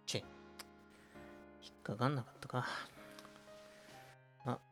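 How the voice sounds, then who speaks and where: A man's voice: mid-range voice, fairly even intonation, slow, a bit bright. Comedy radio drama role: dry, laid-back male friend acted by a man, mid-range voice, faint music underneath. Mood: wry. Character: wry, laid-back, grudging male buddy.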